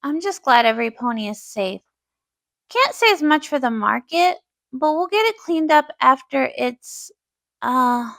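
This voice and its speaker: A woman's voice: sits high, lively intonation, a little slow, a bit bright, no room sound, studio quality, exaggerated voice. A woman voicing an earnest, worried young pony princess in a cartoon fan dub, speaking emotively. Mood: concerned.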